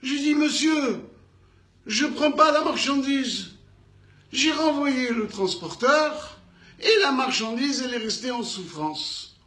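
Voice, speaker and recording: A man with a North African French accent telling a business anecdote in an animated way, acting out the dialogue with emphatic repetitions. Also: very high-pitched, slow, echoey room. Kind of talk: animated storytelling.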